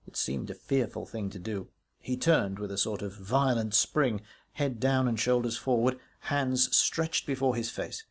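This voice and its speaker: A man reading a ghost story aloud as literary narration, in a measured, expressive way with varied emphasis. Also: medium pitch, husky. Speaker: audiobook reader.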